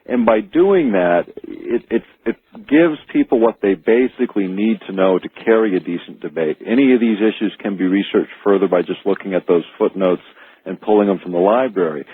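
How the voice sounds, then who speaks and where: An American man speaking as an author guest in a radio interview, explaining earnestly and haltingly, repeating words as he goes. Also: on the low side, slightly rough, no echo. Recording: narrow phone-like archive radio audio.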